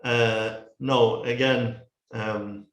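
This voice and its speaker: A man's voice: mid-range voice, steady tone, drawn-out words, reverberant. A Dutch man with a Dutch accent, chatting casually about films and stumbling over words, repeating himself as he restarts his sentences. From Netherlands. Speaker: co-host of a movie review show. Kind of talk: casual film chat.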